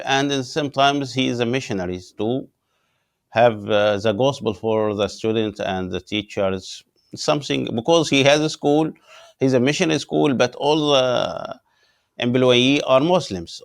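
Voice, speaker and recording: Gravelly-voiced Egyptian pastor, halting English. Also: male voice, mid-range voice. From Upper Egypt. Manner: halting non-native English with many "uh" pauses. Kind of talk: interview guest telling his story.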